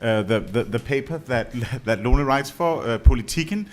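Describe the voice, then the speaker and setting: A man's voice: medium pitch, lively intonation, moderate pace. A Danish man speaks on a panel in English, his second language. He tells his story with amusement and laughs easily as he goes. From Denmark. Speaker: panelist, television writer. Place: on stage before an audience.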